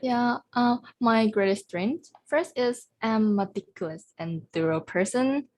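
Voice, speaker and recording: A woman's voice: a little high, a little slow, darkish tone. A young woman university student giving earnest, practiced mock job interview answers in English over a video call. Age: young adult. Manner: earnest, practiced, listing points.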